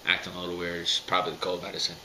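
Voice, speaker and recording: Casting: man in his 30s, very low pitch, sharp edgy tone, stops and restarts often, a little under the weather. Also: monotone, rapid-fire, slight background noise, rough recording. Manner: rambling, many fillers and restarts. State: recovering from a cold. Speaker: firearms vlogger. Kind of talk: casual talk to camera. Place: dim home room, indoors.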